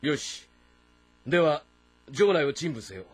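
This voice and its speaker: A man playing a proud, brooding middle-aged warlord in a historical game drama, speaking with deliberate authority. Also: a little high, very animated, breathy edge, no room sound, exaggerated voice. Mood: commanding. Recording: voice over background music.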